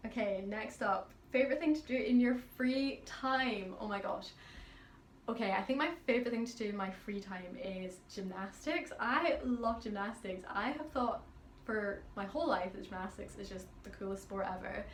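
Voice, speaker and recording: A young adult woman from Scotland with a Highland Scottish accent, chatting upbeat through a Q&A as a pianist vlogger. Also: normal speed, reverberant, a little hiss or hum. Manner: chatty and upbeat, reads out questions then answers. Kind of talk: casual Q&A chat.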